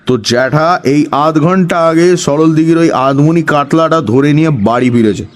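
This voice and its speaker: A man's voice: fairly high pitch, normal speed, lightly acted. A man playing an eccentric, bossy village know-it-all in an audio story, scolding and conspiratorial with dramatic emphasis. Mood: urgent, conspiratorial. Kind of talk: Bengali audio story acting.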